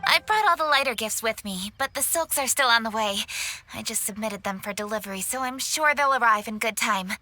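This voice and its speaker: A brisk young woman official, formal and composed. Her voice sits fairly high. Soft game music plays behind. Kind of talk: game cutscene voice acting.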